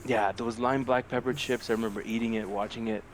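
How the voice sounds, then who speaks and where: A young man with a medium-pitched voice chats as a podcast co-host. He rambles in an animated, casual, sweary way, and there is clear noise in the recording. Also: a little fast. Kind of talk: casual film chat. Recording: clearly noisy amateur podcast audio.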